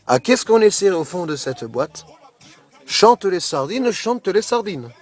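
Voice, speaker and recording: A man with a high-pitched voice plays radio game host on a prank call. He improvises his patter and pushes his contestant along with hyped-up, boisterous urging. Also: very animated, a little slow. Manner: boisterous, pushy, hyped-up exhortations.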